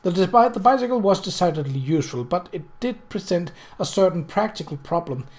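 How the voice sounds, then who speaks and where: Higher-voiced man reading a novel aloud. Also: animated, fairly quick, a little mellow. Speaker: audiobook reader. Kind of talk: scripted narration of a novel.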